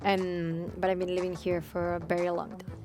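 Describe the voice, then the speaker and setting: A woman's voice: lowish voice, monotone, moderate pace, warm tone, soft background music. A young woman from Spain with a Spanish accent, co-hosting a casual football chat and reading out fan questions, with many fillers and restarts. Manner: hesitant, filler-heavy.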